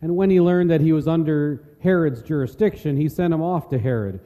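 Very mellow male scripture narrator. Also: a little high. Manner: measured, solemn. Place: large reverberant church. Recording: long church hall reverb.

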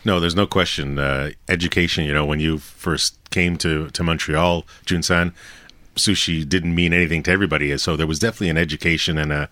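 A man's voice: rumbling low, steady tone, a little fast, pushed and bright, dry recording. A man co-hosting a business radio show, asking long, conversational questions with many restarts. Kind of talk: business interview.

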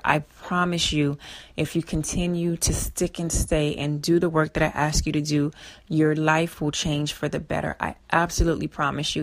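A woman hosting a radio show on personal finance, slightly hoarse, giving step-by-step instructions and repeating her key points. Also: deep voice. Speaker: financial advice show host. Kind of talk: radio advice talk.

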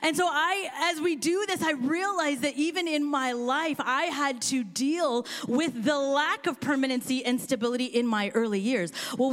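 A woman pastor, born in India and raised in Kansas, with a Midwestern American accent, preaching a sermon with impassioned swells that rise almost to shouting. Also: high voice. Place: church auditorium with live congregation.

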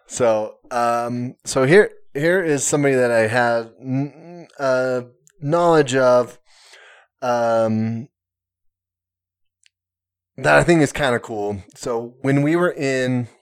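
A man's voice: medium pitch, slow, smooth. A man talking casually on a podcast, hesitant, full of fillers and restarts, with self-mocking humor. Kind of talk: casual conversation.

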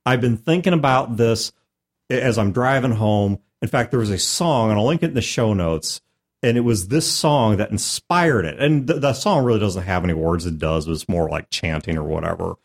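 A man's voice: fairly low pitch, animated, normal speed. A man hosting a podcast as a game master, telling a casual, emphatic story about a tabletop game.

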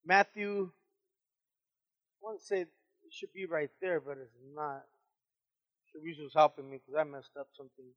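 A man leading a Bible study in casual talk, who stutters and speaks slowly. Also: a little high, fairly even intonation. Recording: dull lo-fi recording.

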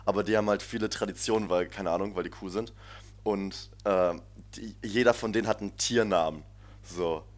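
A young man with a fairly low voice tells stories in a loose, rambling way, often breaking into laughter. His talk is casual and full of slang. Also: brisk. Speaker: podcast co-host. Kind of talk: casual chat. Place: shared flat abroad.

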